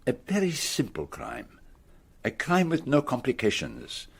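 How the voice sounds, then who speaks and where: An actor playing an ageing, vain Belgian gentleman detective with a French-speaking Belgian accent, precise and theatrical in a radio play. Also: medium pitch, huge pitch swings, a little slow, slightly rough, full character voice. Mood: wry, relishing. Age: older. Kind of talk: radio drama acting.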